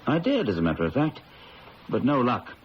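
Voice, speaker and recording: An actor playing a guarded, weary accused man in an old-time radio drama, speaking deliberately. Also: medium pitch, huge pitch swings. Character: guarded, weary male diamond-company employee accused of theft. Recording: dull, echoing radio recording, faint hiss.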